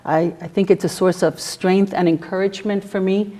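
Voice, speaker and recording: A middle-aged woman whose first language is Spanish, giving a prepared speech to a campus audience in a measured, formal way with frequent "uh" fillers. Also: mid-range voice, steady tone, dark tone. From New York City. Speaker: guest speaker, federal prosecutor.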